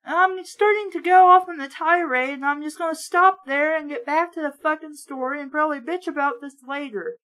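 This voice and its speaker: A woman's voice: high-pitched, a little fast, few pauses, fairly bright tone, rough recording. A woman reading aloud casually with blunt, sweary, sarcastic running commentary in a ranting manner.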